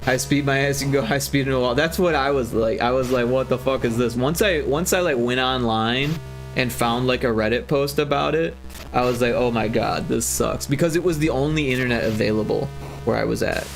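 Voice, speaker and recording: Gravelly chatty man in his forties. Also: mid-range voice, brisk, faint music behind. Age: 40s. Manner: rambling, chatty, lots of fillers and restarts. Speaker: livestreaming game developer. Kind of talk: casual livestream chat.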